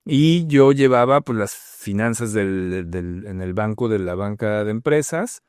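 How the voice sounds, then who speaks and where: A middle-aged Mexican man speaks in a low, clean-toned voice with a Mexican Spanish accent. He answers in an unhurried, reflective way, leaning on soft fillers. The sound is dry and close. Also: lively intonation, smooth, no echo. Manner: thoughtful, many fillers like "eh" and "pues". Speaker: guest, bank HR manager. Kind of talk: relaxed conversational interview.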